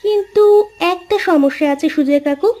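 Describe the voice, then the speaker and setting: A woman's voice: sits high, normal speed, darkish tone, close to an everyday voice. A woman voicing a young village farmer's daughter in a cartoon, earnest, caring and sweetly persuasive. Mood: confident. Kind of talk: Bengali moral-story cartoon voice acting.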